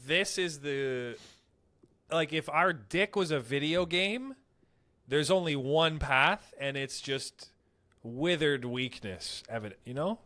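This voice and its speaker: Young male podcast co-host, a touch high-pitched, profane storyteller. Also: animated, slow, sharp tone. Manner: rambling, profane, storytelling with quoted voices. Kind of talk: casual crude banter.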